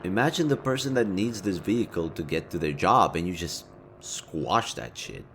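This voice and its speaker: A low-pitched, smug supervillain acted by a man talks straight to the listener in an audio roleplay. He is sardonic and casually sweary, with a theatrical taunting manner and a hidden caring side. Some background ambience sits behind the voice. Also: expressive, moderate pace, fairly bright tone, a little hiss or hum. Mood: mocking, sardonic. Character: smug male city supervillain, sardonic yet secretly caring.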